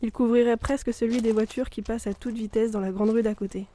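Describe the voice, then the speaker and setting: A woman's voice: fairly high pitch, fairly even intonation, fast, dark tone, slight background noise. A young woman reading a scripted, intimate literary journal as a narrator. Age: young adult. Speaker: student narrator.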